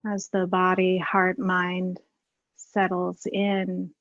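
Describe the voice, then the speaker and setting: A woman leading a guided meditation as a teacher, unhurried and leaving long pauses. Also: lowish voice, monotone, fairly bright tone, no echo, dull audio.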